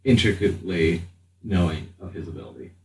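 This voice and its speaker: A man speaking as game master, improvising narration for a tabletop roleplaying game with halting pauses mid-sentence. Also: deep voice, animated, creaky. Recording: roomy, distant mic with faint noise.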